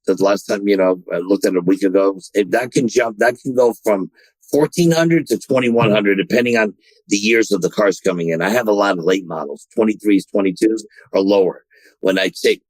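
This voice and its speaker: A man's voice: fairly low pitch, darkish tone, no room sound, poor audio. A man being interviewed on a podcast about running a car dealership, talking in bursts with many restarts and repeated words. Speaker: dealership general manager, guest.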